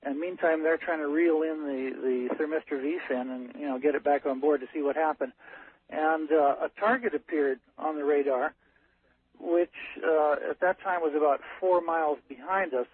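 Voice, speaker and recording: A man tells his account over a tinny telephone line to a radio show. His voice is husky and a little high, and he speaks haltingly, in a matter-of-fact technical manner. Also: fairly even intonation. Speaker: caller, ship's captain. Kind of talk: unscripted storytelling on a call-in show. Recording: narrow muffled phone line on radio.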